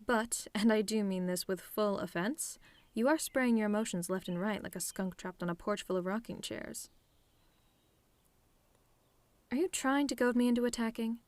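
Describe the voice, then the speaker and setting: A woman playing a telepathic villainess in an ASMR roleplay, sardonic and theatrical, menacing with a tender streak, in deliberate phrasing. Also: medium pitch, no room sound, natural voice. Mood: sardonic, probing. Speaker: audio roleplay performer.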